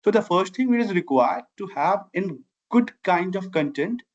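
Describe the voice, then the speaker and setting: A man's voice: high-pitched, lively intonation, moderate pace. A middle-aged man from Bihar, a native Maithili speaker with an Indian accent, earnestly telling his own story as an interview guest. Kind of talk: conversational personal storytelling. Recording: dull, lo-fi audio.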